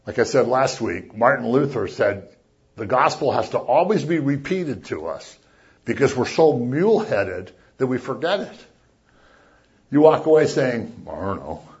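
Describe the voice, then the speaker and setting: A man preaching a sermon as a pastor, chatty and animated, acting out little voices as he talks. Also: mid-range voice, measured pace, muffled recording. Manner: conversational, animated, acts out voices.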